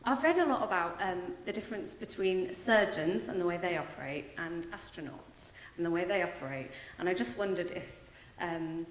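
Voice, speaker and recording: A British woman speaking from the audience, her voice fairly low for a woman. She muses aloud in a chatty way with little laughs between thoughts. The hall around her is roomy and echoey. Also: animated, moderate pace, hardly any pauses, slight background noise. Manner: musing, chatty, laughs lightly. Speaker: audience member. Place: large hall.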